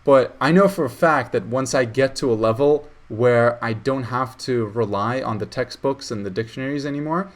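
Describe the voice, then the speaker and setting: A man's voice: mid-range voice, moderate pace, hardly any pauses. Young man, Russian native speaker, casual host talk, hesitant, restarts often. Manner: hesitant, many fillers and restarts. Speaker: channel host. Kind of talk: casual video call chat.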